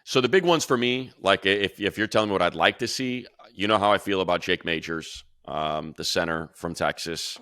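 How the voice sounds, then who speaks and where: A man's voice: low-pitched, moderate pace, hardly any pauses, bright, forceful tone, no room sound, studio quality. A man giving casual, opinionated football draft commentary as a radio analyst, talking conversationally and thinking aloud. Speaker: sports radio announcer and analyst.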